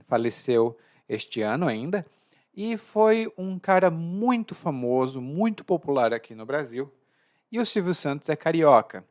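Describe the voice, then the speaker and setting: Lively Brazilian man teaching Portuguese. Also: mid-range voice. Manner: chatty and expressive, slows down to stress words. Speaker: language teacher and podcast host. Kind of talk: explanatory podcast lesson.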